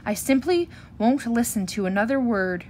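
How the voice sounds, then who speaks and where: A woman's voice: fairly high pitch, animated, normal speed, a little mellow, a little hiss or hum, natural voice. Woman as firm, scolding mama bear. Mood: stern. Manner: firm, admonishing. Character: firm, proper adult mama bear scolding her cubs.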